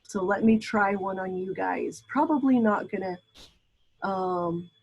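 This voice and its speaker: A woman's voice: medium pitch, measured pace, slightly rough, poor audio. A woman from Brazil teaching a class in a casual, chatty lecture style, with frequent ums and asides. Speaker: instructor.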